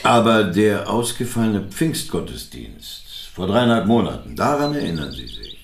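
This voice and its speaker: An actor playing a persistent investigator in a radio drama, questioning in a measured, probing way. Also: male voice, fairly low pitch, sing-song. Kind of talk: naturalistic radio drama acting. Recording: echoey room with faint background ambience.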